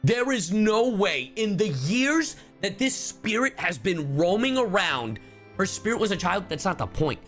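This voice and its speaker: A man livestreaming a game with casual commentary, rambling in an animated way and swearing freely. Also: high-pitched, a little slow, faint music behind.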